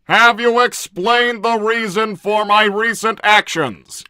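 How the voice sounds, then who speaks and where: A man's voice: high-pitched, fairly even intonation, takes it slowly, bright, forceful tone, smooth, dry recording, studio quality, heavily acted. A man voicing a stern, literal-minded male robot who speaks in clipped, mechanical commands. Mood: clinical.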